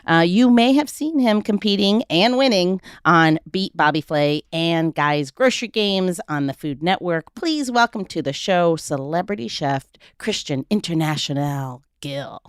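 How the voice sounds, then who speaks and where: A woman's voice: on the low side, expressive, measured pace, few pauses, clean tone, dry recording, studio quality. A woman hosting a podcast in a casual, chatty way, laughing often. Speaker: podcast host.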